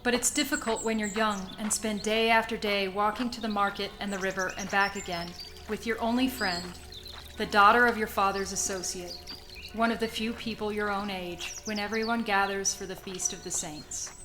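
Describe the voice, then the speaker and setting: A woman playing an older Sicilian woman who narrates her youth in a radio play, measured, confiding and wistful. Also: medium pitch, fairly even intonation, music in the background, lightly acted. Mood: knowing, wry.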